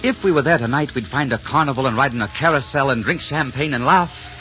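A man's voice: mid-range voice, brisk, a bit bright, soft background music, natural voice. An actor playing a suave, ardent French nobleman in a courtly, theatrical 1940s radio romance. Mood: passionate. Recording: muffled old 1940s radio recording.

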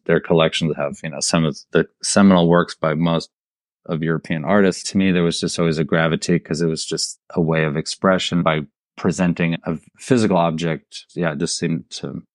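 Low-voiced man from Germany, casual storyteller. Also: fairly even intonation, brisk, dry recording, clean studio sound. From raised in southern Germany. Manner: informal, quotes imagined dialogue as he tells stories. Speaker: installation artist, podcast guest.

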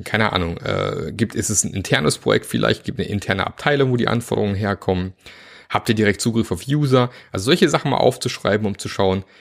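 A man's voice: fairly low pitch, vocal fry. A man with a cold giving a casual, chatty lesson on team practices, full of fillers and asides. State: has a cold, sore throat. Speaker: agile coach teaching teams. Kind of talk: informal spoken lesson.

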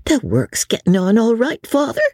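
A man's voice: sits high, wildly swooping pitch, moderate pace, darkish tone, no echo, clean studio sound, voice pushed up, full character voice. A man voicing a pert young barmaid.

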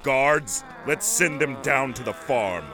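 An actor playing a haughty, menacing corporate executor in an audio drama, pompous and commanding. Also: male voice, mid-range voice, expressive, slow pace, sharp tone. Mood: furious, commanding. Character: haughty, menacing male corporate executor barking orders at underlings. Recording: faint background noise.

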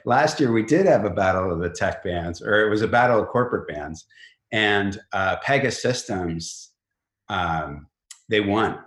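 A middle-aged man with a very low voice speaks in a casual interview style. He is informal and halting, often restarting his phrases. The recording is roomy, with clear echo around the voice. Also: animated, a bit bright. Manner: informal, halting, frequent self-rephrasing. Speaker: company chief executive, podcast guest.

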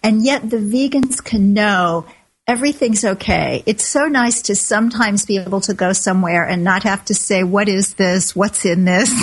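A woman's voice: medium pitch, normal speed, breathy edge, low-quality recording. A woman interviewing a guest, friendly and encouraging, laughing often.

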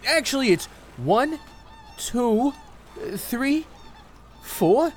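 An actress playing a drowsy, oblivious and flippant sidekick who bickers back in an audio comedy. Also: female voice, sits high, big pitch swings, drawn-out words, a little mellow, heavily acted. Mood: sleepy, flippant.

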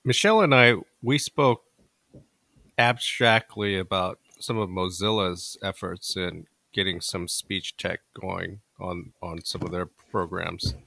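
A man hosting an interview, setting up a question for his guest in a measured way. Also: low voice, fairly even intonation, vocal fry, bright, forceful tone, no room sound. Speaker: podcast host.

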